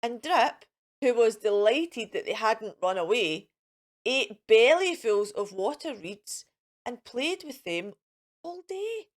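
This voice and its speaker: A woman reads a picture book for young children in a high voice that moves up and down freely. She takes her time and sounds theatrical and sing-song, like a storytime host talking straight to her little listeners. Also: lively intonation, slow pace.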